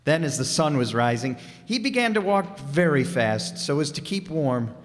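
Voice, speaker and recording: A man's voice: a little high, very animated, normal speed. A man narrating a live radio play in a dramatic, literary storytelling style. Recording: echoey hall, music behind.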